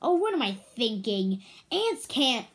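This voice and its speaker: A woman's voice: fairly high pitch, sing-song, measured pace. A woman voicing a flustered, apologetic husband and young father in a read-aloud storybook, hesitant and given to exclaiming. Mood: alarmed.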